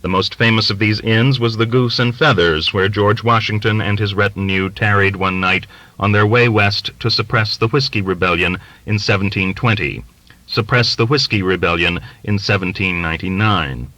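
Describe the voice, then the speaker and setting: Male narrator, literary audiobook reading, expressive, deliberate, bright forceful tone, close dry recording. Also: on the low side, no room sound, rough recording.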